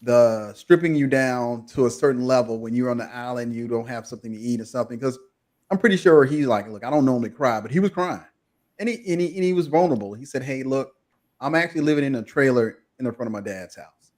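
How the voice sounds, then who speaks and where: A man hosting a relaxed podcast recap, keeping the talk moving with an animated, easy laugh. His voice sits at an ordinary middle pitch. He sounds chatty and in charge. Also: fast talker. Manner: animated, laughs easily, leads the conversation. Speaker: podcast host. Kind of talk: casual recap chat.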